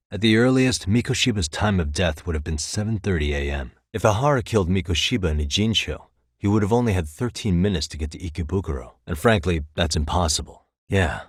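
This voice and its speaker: Deep-voiced, probing American detective. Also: male voice, breathy edge, dry recording, clean studio sound. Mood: analytical. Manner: measured, probing, pointed rhetorical questions. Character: sharp, determined adult male private detective, dry and probing. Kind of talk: video game voice acting, dramatic dialogue.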